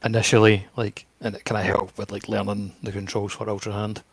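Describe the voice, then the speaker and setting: A man's voice: lowish voice, little pitch movement, fairly quick, vocal fry, breathy edge, dry recording. A man co-hosting a podcast, chatting casually about a video game. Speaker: podcast co-host.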